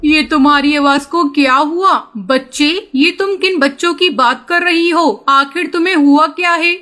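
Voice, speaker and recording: A woman's voice: high voice, moderate pace, pushed and bright. A woman voicing a worried older mother pigeon in a cartoon story, with a concerned, questioning manner. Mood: alarmed.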